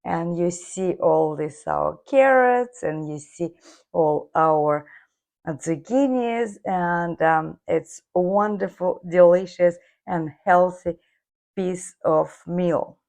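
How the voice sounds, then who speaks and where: A woman hosting a cooking video from her home kitchen, her voice hoarse, chatting viewers through each step. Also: on the low side, takes it slowly, a little mellow. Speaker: cooking channel host. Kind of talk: casual cooking demonstration.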